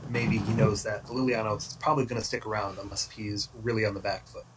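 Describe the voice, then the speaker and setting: A man's voice: lowish voice, fairly even intonation, fast talker. Man commentating from off-mic, noisy recording. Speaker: esports card game commentator. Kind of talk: casual live analysis commentary. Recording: distant mic with clear background noise, clicks.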